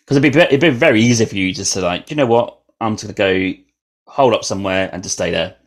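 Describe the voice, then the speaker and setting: A man's voice: on the low side, fast. A man hosting a podcast, speaking in a casual, agreeable conversational way. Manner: agreeable, frequent short affirmations. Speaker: podcast host.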